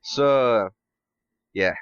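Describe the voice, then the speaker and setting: A man chatting casually as a podcast guest, hesitant and halting, swearing offhandedly, on dull muffled audio. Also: mid-range voice, clean tone. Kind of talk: casual chat.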